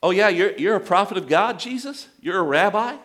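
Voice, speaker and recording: A sneering, taunting townsman in a retold story, pitched well up and dripping with scorn, as a preacher puts him on. He talks down to his listener in a mocking, needling way. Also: animated, fairly quick, a bit bright. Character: scornful hometown crowd, adult men, mocking.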